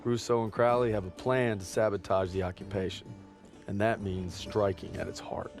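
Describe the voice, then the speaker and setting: A man's voice: on the low side, fairly even intonation, moderate pace, vocal fry, a little mellow, soft background music. A man narrating as a weary, plain-spoken soldier in a war game.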